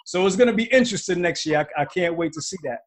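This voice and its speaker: High-voiced easygoing podcast host man. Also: animated, fast talker. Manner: easygoing, repeats words and slangy asides. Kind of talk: casual interview chat.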